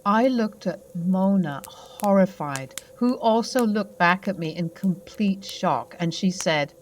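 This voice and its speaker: A young adult woman narrating her own true paranormal story in a steady first-person telling, with occasional fillers. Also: on the low side, takes it slowly, a little hiss or hum, rough recording.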